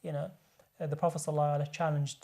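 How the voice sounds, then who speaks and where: A man's voice: on the high side, little pitch movement, racing, vocal fry, a little mellow. A man who works as a doctor, speaking as a guest in a studio discussion and explaining his points earnestly, often repeating words as he restarts a sentence.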